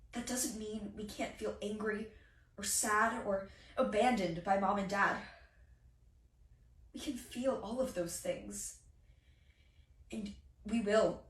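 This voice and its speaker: A woman's voice: medium pitch, fairly bright tone, lightly acted. An amateur actress performing a stage monologue as an angry, wounded teenage girl who speaks with emphatic, impassioned feeling. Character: angry, wounded teenage girl grateful to her rescuing sister. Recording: roomy, distant microphone.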